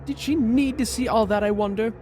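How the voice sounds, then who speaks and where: A man's voice: high-pitched, brisk, darkish tone, a little hiss or hum, close to an everyday voice. A man playing a flamboyant, deranged villain in an audio drama, with a theatrical, airy, mock-courteous manner. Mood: mock innocent. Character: flamboyant, deranged, sly villain feigning friendship.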